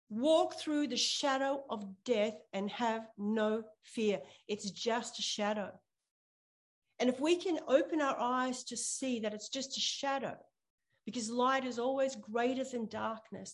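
A woman pastor giving a sermon and prayer, speaking earnestly and repeating phrases for emphasis. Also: on the high side. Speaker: pastor preaching to a church.

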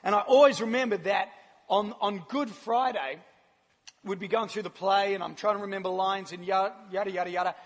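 A middle-aged man with a croaky voice, speaking as a guest preacher in a chatty, self-deprecating way with frequent laughs. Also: high-pitched, steady tone, brisk. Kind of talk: casual, joking church talk. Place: large church hall. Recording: live church hall with long reverb.

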